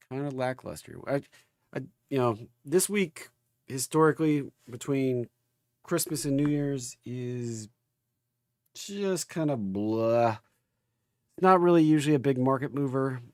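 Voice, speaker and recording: Gravelly man, casual livestream host. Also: mid-range voice, dry recording, studio quality. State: recovering from an illness. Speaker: host of a stock market livestream. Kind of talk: casual livestream chat and market commentary.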